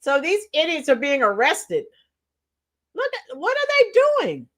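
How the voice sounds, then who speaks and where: A middle-aged woman with a hoarse, tired voice chatting casually with livestream viewers, reading out comments and singing bits of songs. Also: high voice, wildly swooping pitch, a little slow, fairly bright tone. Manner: chatty, reads out comments and sings along to songs. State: hoarse, losing her voice. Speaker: livestream host.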